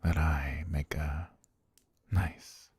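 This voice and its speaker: A man playing a possessive, lovestruck butler in an intimate ASMR roleplay, patient and menacing, with courtly, softly coaxing, half-whispered speech and a gravelly, husky low voice. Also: flat delivery, drawn-out words, heavily acted. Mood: patient devotion.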